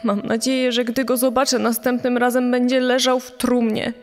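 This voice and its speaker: A woman's voice: a little high, level tone, moderate pace, close to an everyday voice. Woman playing a grieving mother in long reverb. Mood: bitter grief. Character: grieving mother of a murdered daughter, pained and bitter. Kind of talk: dramatized reading of a quoted statement. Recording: long hall reverb over background music.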